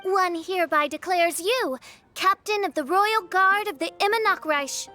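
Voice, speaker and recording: A woman's voice: very high-pitched, measured pace, pushed and bright, soft background music, exaggerated voice. A woman voicing a theatrical teenage girl with princess airs in an anime game, speaking in pompous, archaic, flowery phrases. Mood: grandly proclaiming. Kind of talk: anime video game voice acting.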